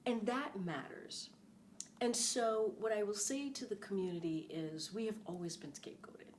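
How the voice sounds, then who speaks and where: A woman's voice: medium pitch, normal speed, a little hiss or hum. A middle-aged woman speaking as an interview guest, earnest and emphatic, often repeating key phrases. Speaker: interview guest, former press secretary. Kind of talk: conversational interview.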